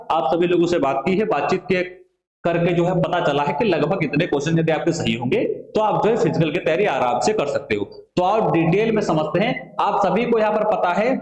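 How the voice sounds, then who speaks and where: A man's voice: high-pitched, darkish tone. A man giving an explanatory exam-prep lesson to students as a coaching teacher, repeating phrases and answering his own questions. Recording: echoey room.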